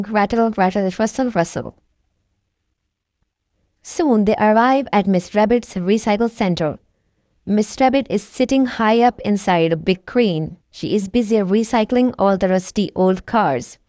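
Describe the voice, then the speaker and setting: Woman reading kids bedtime story, takes it slow, really dry close sound. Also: medium pitch, darkish tone, no echo. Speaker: children's storybook reader. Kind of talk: read-aloud bedtime story narration.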